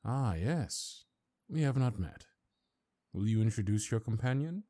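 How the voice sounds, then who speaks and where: A man playing an ancient, stately high councilor in a fantasy audio drama, formal and pompous, with slyly condescending courtesy. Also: expressive, a little slow, mellow. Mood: curious, courteous. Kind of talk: audio roleplay fantasy acting.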